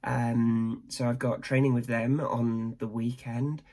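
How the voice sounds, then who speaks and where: A young woman with a slightly croaky voice, chatting casually and rambling to camera. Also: rumbling low, level tone, slow pace, warm tone. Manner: chatty, rambling, self-deprecating. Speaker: vlogger.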